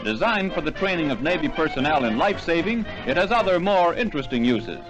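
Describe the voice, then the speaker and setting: A man's voice: a little high, very animated, brisk, over music, low-quality recording. A man reading scripted 1940s newsreel narration in a formal announcer delivery, on a vintage soundtrack.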